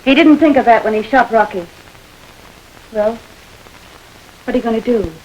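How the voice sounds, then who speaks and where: An earnest young woman played by an actress stands up to a gang of crooks in an old crime film. Her voice sits a little high and turns sharply accusing. A faint hiss runs under the old soundtrack. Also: moderate pace, darkish tone, highs cut off, natural voice. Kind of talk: 1930s film acting.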